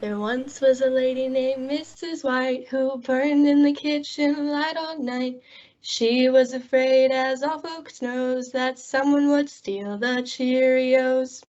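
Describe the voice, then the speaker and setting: A woman's voice: high-pitched, steady tone, takes it slowly, a little mellow. A young woman performing a playful rhyming spot in a 1950s-style radio advertisement. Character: storybook-style jingle reciter in vintage radio commercial. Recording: dull, dry lo-fi recording.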